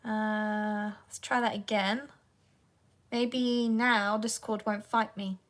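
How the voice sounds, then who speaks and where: A woman voice actor with a sore, croaky throat, rambling casually to her livestream audience and talking to herself while she fights with her devices. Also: medium pitch, level tone, slow pace, fairly bright tone.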